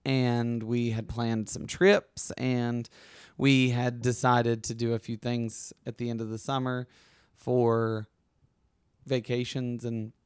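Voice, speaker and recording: Male podcast host, young adult, slightly hoarse, chatty and rambling, dry close mic. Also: medium pitch, flat delivery, takes it slowly, dry recording. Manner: chatty, rambling, with frequent restarts. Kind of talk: casual conversational podcast chat.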